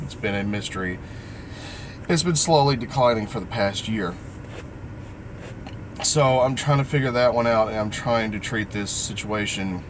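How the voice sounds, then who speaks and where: Middle-aged male vlogger, very low voice, halting and earnest personal talk, clear background noise. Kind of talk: personal vlog talk, live stream.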